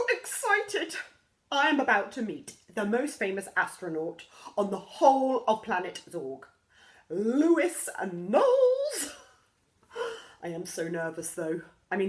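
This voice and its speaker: Female storybook reader, unhurried, lively swings in pitch. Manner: slow, animated storytelling. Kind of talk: reading a children's story aloud.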